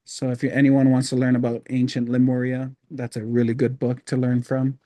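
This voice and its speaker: A middle-aged man from Hawaii, a podcast guest telling his life story in a relaxed, rambling way with many fillers. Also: medium pitch, brisk, no echo. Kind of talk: casual storytelling interview.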